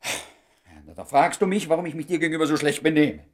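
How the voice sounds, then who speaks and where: A man's voice: a little high, steady tone, brisk, natural voice. An actor playing a jealous, sardonic, lovesick man in his thirties in a radio comedy drama, blustering and stammering when worked up. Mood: aggrieved. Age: 30s.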